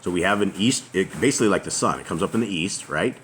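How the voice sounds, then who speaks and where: Host for home bible study: very deep, booming man reading aloud, faint outdoor noise behind. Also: expressive. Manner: loud booming voice, reads aloud with pauses. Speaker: host leading a family scripture reading. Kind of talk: casual group Bible study. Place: house open to the outside, noisy roof. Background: faint outdoor ambience.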